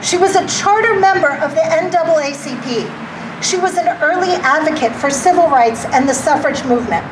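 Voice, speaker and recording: A woman, a playwright, giving an animated public speech outdoors at a march, with a loud, carrying voice. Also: high voice, fairly quick. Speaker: playwright and director speaking at a rally. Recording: distant mic with loud outdoor noise.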